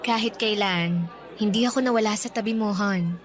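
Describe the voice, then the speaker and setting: A woman acting as a gentle, hesitant young engaged woman in a radio drama, speaking earnestly and conversationally. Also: medium pitch, moderate pace, natural voice. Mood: tender, understanding. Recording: music bed behind studio dialogue.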